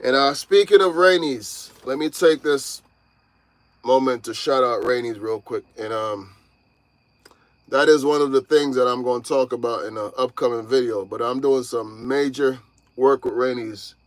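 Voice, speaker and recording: A man's voice: mid-range voice, moderate pace, dry recording. Laid-back male trucker in his thirties, casual livestream chat from his truck cab. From Jamaica. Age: 30s. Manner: laid-back, talks straight to viewers, calls them y'all. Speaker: truck driver vlogger.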